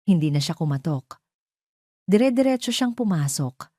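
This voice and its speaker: Low, mellow woman storyteller. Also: sing-song, moderate pace, dry recording, studio quality. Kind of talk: dramatic scripted narration of a romance audio drama.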